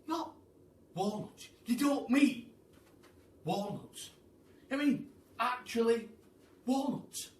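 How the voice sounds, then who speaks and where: A man voicing an excitable, incredulous monkey in a storybook, screechy and repetitive. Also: very high voice, takes it slowly, pauses often, reverberant, far from the mic, pitched above the performer's own voice, full character voice. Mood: incredulous, excited.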